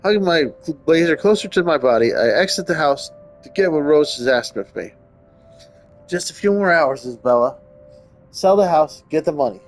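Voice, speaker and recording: A man's voice: a little high, expressive, moderate pace. A man hosting a gaming video, reading game text aloud and adding casual comments. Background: game music.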